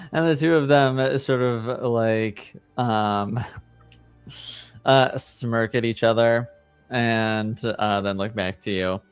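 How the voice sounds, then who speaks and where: A man narrating as game master in a tabletop roleplaying game, improvising dialogue and often pausing to search for words. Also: mid-range voice, clear, resonant tone, no echo, soft background music.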